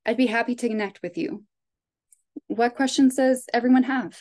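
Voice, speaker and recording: Woman, guest speaker, friendly and clear, slightly high voice, video call. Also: fairly even intonation, normal speed, darkish tone. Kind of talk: webinar talk and Q&A.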